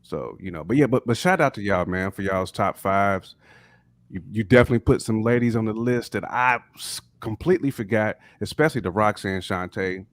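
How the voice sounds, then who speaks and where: Easygoing guy with a lowish voice talking hip hop on a podcast, dry sound. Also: normal speed, no room sound. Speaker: podcast co-host. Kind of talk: casual hip hop chat on a live stream.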